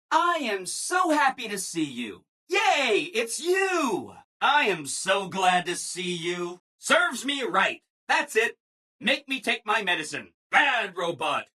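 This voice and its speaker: Game robot assistant: bright, very animated, ingratiating, relentlessly upbeat people-pleaser. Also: male voice, high voice, husky, heavily acted. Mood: upbeat, eager, then gleefully self-punishing. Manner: chipper, ingratiating, emphatic, apologetic people-pleaser. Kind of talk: scripted video game dialogue.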